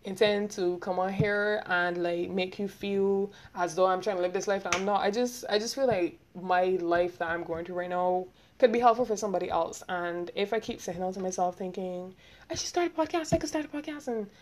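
A young woman with a Barbadian accent talking quickly and rambling, hosting a casual solo podcast and laughing often. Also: medium pitch. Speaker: podcast host.

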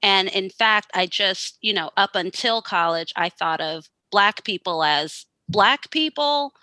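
Bright-voiced woman interviewer, dry sound. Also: mid-range voice, animated, unhurried, dry recording. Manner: curious, thoughtful questioning. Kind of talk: conversational interview questions.

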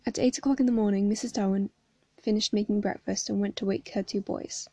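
A young woman, hoarse from hay fever, reading a story aloud and chatting casually between chapters. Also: mid-range voice, steady tone, brisk, mellow, no room sound. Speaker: fan fiction reader.

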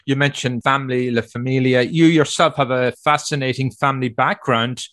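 A man's voice: mid-range voice, fairly even intonation, moderate pace, a bit bright. A man whose first language is Irish Gaelic, hosting an interview, reading out headlines and adding conversational, self-deprecating asides. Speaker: interview host.

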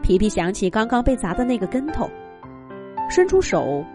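A woman telling a children's story with gentle, expressive narration. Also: a little high, moderate pace, music in the background.

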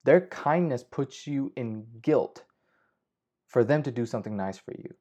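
A man's voice: mid-range voice, lively intonation, measured pace, mellow. A young man hosting a casual solo podcast, rambling with frequent swearing and restarts. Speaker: podcast host. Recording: slightly dull lo-fi audio.

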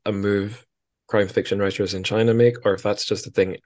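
A man's voice: on the low side, flat delivery, a little fast, darkish tone. A man hosting a podcast in a casual book discussion, chatty and wry, rambling as he goes. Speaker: podcast host.

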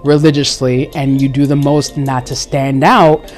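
A woman talking candidly in a casual group discussion, animated and jumping into high exclaimed asides. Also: measured pace, faint music behind.

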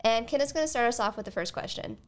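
A woman's voice: on the high side, animated, fast, clear, resonant tone. A woman co-hosting a podcast, chatting casually as she answers listener questions. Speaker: podcast co-host. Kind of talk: casual advice podcast chat.

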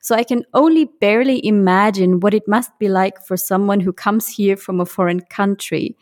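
A woman's voice: mid-range voice, moderate pace, darkish tone. A woman hosting a career podcast and interviewing a guest in an upbeat, friendly way. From Germany. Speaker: podcast host.

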